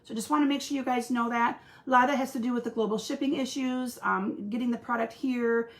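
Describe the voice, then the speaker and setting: Female craft-video host, friendly chatter to viewers, a bit high with vocal fry. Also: steady tone, brisk. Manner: chatty, talks to viewers by name, frequent ums. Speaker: stamping demonstrator and channel host. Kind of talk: casual crafting livestream chat.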